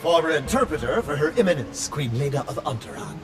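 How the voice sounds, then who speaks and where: A man's voice: fairly high pitch, big pitch swings, fast, a loud crowd. A man voicing a fussy, anxious translator droid in a video game, with a prim, pompous, formal manner.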